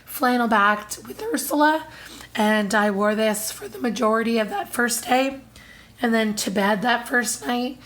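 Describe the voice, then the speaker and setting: A woman in her thirties talks casually to her camera, her voice hoarse and husky, still coming back after she lost it. She sits slightly high in pitch and chats in a loose, apologetic way, filling pauses with ums and uhs. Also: bright, forceful tone. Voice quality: husky, strained. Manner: chatty, apologetic, many fillers. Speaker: vlogger. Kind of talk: casual monthly haul-style chat to camera.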